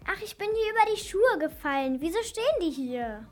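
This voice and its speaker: A woman's voice: high voice, big pitch swings, a little fast, a little mellow. A female performer playing a messy, lazy, whiny youngster in a children's radio play, exclaiming and complaining. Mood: puzzled.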